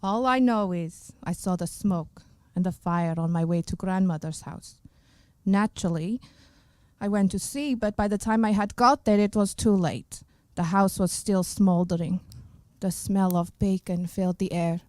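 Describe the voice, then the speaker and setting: A woman's voice: medium pitch, a little slow, darkish tone, no room sound, close to an everyday voice. A woman acting a brooding, mysterious fairy-tale witness in a comic radio play, ominous and melodramatic.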